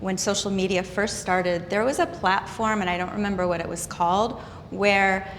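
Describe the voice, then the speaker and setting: A woman in her fifties chatting on a talk show panel, telling stories in a lively way and often restarting her sentences. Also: mid-range voice, normal speed. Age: 50s. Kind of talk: candid panel chat. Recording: reverberant room with faint noise.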